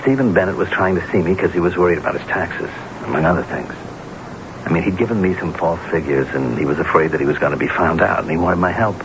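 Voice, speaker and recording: A deep-toned, anxious husband in a radio mystery drama. He is earnest and guilt-laden, tripping over and repeating his words. The old broadcast recording is muffled and noisy. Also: fast talker. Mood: anxious explaining.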